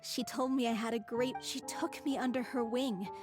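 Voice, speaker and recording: Lady voicing young troupe actress in a game, earnest, highish voice, faint music. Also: steady tone, normal speed, close to an everyday voice. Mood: reflective, grateful. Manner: earnest, reflective. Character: sincere, grateful young actress of a theater troupe. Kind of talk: video game character acting.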